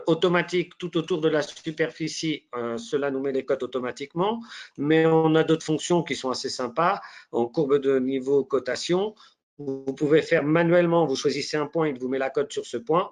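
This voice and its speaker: A man with a head cold giving a live online software demonstration, talking in a hesitant way with many fillers and restarts. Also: mid-range voice. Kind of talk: live software demo walkthrough.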